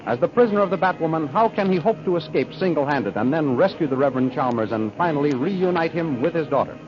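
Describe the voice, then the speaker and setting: An American man announces an old radio serial from a script. His voice is fairly high, with music clearly behind him on a muffled vintage broadcast recording. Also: expressive, quick pace.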